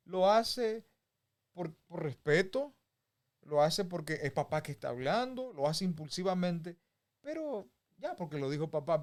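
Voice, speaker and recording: Male preacher, somewhat high voice, folksy, conversational Bible teaching. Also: a little mellow. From Dominican Republic. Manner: folksy, with homey examples and chuckles.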